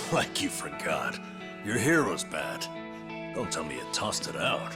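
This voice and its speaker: A gruff older buddy in a game drama. He talks slowly in a low voice that moves up and down freely. He comes across as loyal, easygoing and teasing. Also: big pitch swings, over loud music. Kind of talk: video game cutscene acting.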